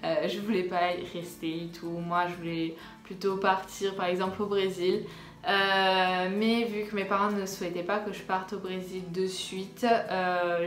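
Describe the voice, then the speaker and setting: A young woman tells her story casually to her followers. Her mid-pitched voice sounds in an echoey room, with faint music underneath. She often hesitates and doubles words to stress them. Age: young adult. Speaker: vlogger. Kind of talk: casual storytime chat to viewers.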